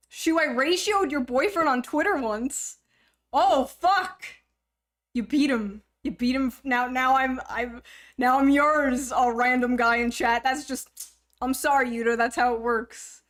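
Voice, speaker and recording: A woman's voice: high-pitched, fairly bright tone. Teasing, foul-mouthed streamer woman. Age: 30s. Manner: teasing, profane, quick to laugh. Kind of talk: casual livestream chat.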